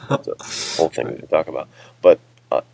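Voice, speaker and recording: Very deep-voiced man, creaky and dry. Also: fast, warm tone, no echo, low-quality recording. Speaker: author and podcast panelist. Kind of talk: casual chat.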